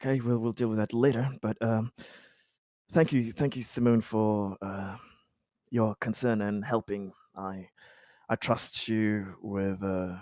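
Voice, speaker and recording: Injured sci-fi colonist, male roleplayer: sardonic, suspicious, halting delivery, profane, dry sound. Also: fairly low pitch, fairly even intonation, a little mellow, no room sound, natural voice. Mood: guarded, halting. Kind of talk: in-character tabletop roleplay.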